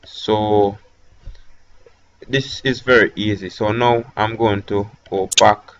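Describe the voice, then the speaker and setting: A man with a Jamaican accent talking through a software tutorial step by step, with hesitant fillers between instructions. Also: fairly low pitch, fairly even intonation, takes it slowly, muffled recording, slight background noise. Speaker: tech tutorial host. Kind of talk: screen-recorded software tutorial.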